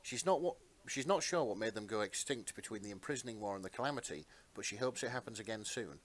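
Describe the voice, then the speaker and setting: A man's voice: on the low side, lively intonation, quick pace. Man narrating an adventure story dramatically.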